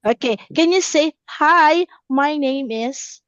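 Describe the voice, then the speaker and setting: A woman's voice: high-pitched, slow pace, no room sound, highs cut off. A woman in her thirties teaching a simple English lesson, encouraging, repeating words and checking understanding. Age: 30s.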